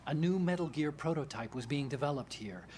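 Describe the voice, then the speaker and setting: An actor playing an earnest male engineer ally who explains things in a measured way. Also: fairly high pitch, expressive, darkish tone, a little hiss or hum, lightly acted.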